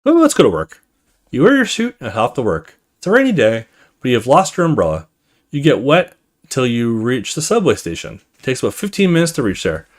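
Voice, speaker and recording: Animated man narrating a game playthrough. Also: mid-range voice, fairly quick. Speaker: gaming video host. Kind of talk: let's play, reading game text aloud with casual asides.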